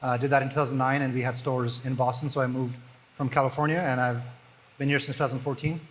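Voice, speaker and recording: A man, a startup founder, speaking on a conference panel with relaxed, dry humor. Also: mid-range voice, fast talker, rough recording.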